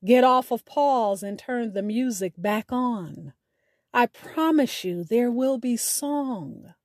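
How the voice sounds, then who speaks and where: Female podcast host, measured scripted delivery with poetic phrasing, unhurried pace, slightly high voice. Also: darkish tone, no echo, clean studio sound. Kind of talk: scripted radio show sign-off with spoken poetry.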